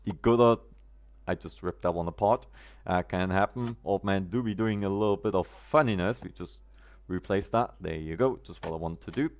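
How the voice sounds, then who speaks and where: A man's voice: deep voice, moderate pace. A man from Germany, chatting casually on a gameplay live stream, greeting viewers by name in quirky, roundabout phrasing.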